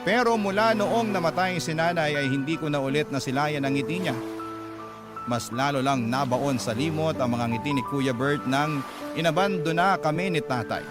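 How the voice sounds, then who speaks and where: A man's voice: a little high, normal speed, over music, close to an everyday voice. A man narrating as a wistful young woman. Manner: wistful, reflective. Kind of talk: scripted narration of a radio drama.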